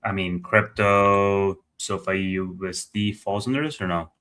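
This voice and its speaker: A man with a fairly low voice and a very bright, forward tone. He hosts a live financial stream in a conversational way, thinking aloud as he goes through the numbers. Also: flat delivery, unhurried. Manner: conversational, thinking aloud while reading figures. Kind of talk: casual live earnings commentary.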